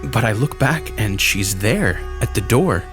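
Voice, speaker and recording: A man giving a dramatic first-person horror narration, intense and deliberate, in halting short phrases. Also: lowish voice, animated. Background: music.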